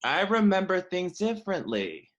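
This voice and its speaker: A man's voice: high voice, sing-song, moderate pace, a bit bright. A man playing a catty, smirking, crude young man who taunts people, in a comedy table read. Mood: snide, taunting. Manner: taunting, snide.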